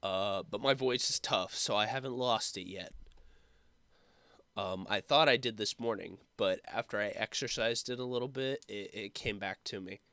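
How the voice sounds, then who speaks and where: A young man who is sick with a sore throat, talking in a rambling, halting way full of fillers for his casual vlog update. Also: on the low side, sharp tone, dry recording. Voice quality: slightly rough, hoarse voice. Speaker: YouTuber.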